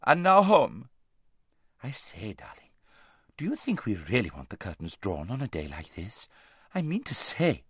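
A man's voice: deep voice, huge pitch swings, moderate pace, husky, dry recording, clean studio sound, heavily acted. A man voicing a vain, careless young noblewoman in a languid, gushing, affected society drawl for a storybook. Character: vain, lazy-voiced, giggly young noblewoman, loud and careless. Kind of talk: audiobook character voice.

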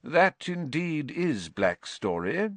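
A man voicing an elderly headmaster who speaks calmly, quietly and with measured authority. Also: a little high, no echo, pitched above the performer's own voice, exaggerated voice. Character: calm, wise elderly male headmaster.

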